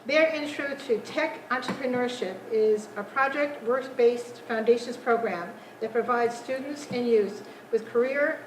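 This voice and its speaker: A woman delivers a formal prepared speech at a conference, reading steadily from her text. Her voice is somewhat high. She sounds distant and echoey, with faint background noise. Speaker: panel speaker. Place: large conference room.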